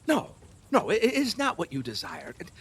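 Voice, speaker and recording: An actor playing a lustful, fearful, superstitious middle-aged king in an audio play, husky, wheedling and erratic, with nervous laughs and halting repeats. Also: high voice, wildly swooping pitch, a bit bright, heavily acted. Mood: wheedling, pleading. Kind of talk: theatrical audio play acting.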